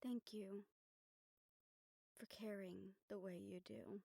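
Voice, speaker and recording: Woman acting composed, gentle noblewoman, close and dry. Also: medium pitch, fairly even intonation, no room sound. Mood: tender, sincere. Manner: measured, gentle, formal phrasing with deliberate pauses. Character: composed young noblewoman soothing her worried dragon bodyguard. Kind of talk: intimate audio roleplay acting.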